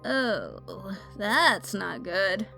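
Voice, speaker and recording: A woman's voice: medium pitch, big pitch swings, very slow, vocal fry, bright, forceful tone, soft background music, heavily acted. An actress playing a flippant, stubborn young woman in an audio drama who is weak and wheezing and brushes off concern. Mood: weakly dismissive.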